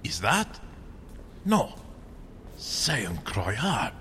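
Radio drama French gentleman painter: bewildered, polite, bright forceful tone, faint noise behind. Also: fairly low pitch, wildly swooping pitch, exaggerated voice. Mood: awestruck. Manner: halting, wondering, courteous. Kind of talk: old-time radio adventure acting.

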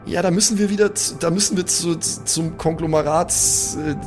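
Slangy higher-pitched man in role-play. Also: fairly even intonation, moderate pace. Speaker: tabletop role-play player. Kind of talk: improvised in-character role-play mixed with casual table talk. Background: background music.

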